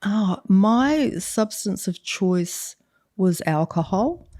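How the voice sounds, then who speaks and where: A woman's voice: mid-range voice, animated, slow, dark tone, no room sound, clean studio sound. A middle-aged woman with a New Zealand accent, speaking as a podcast guest in a candid, reflective way. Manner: reflective, earnest, laughs easily. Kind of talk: candid conversational interview. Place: studio with a couch.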